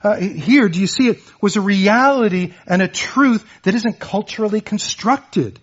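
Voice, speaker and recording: A man's voice: sits high, normal speed, muffled recording. A man preaching a sermon, earnest and emphatic, repeating words and phrases as he drives his points home. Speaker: pastor.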